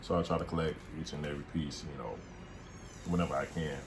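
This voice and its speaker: A man's voice: very deep voice, steady tone, fast talker. A man, chatting casually and goofily on a couple's vlog. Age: 20s. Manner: laid-back, goofy, hypes himself up. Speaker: vlogger. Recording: noisy room.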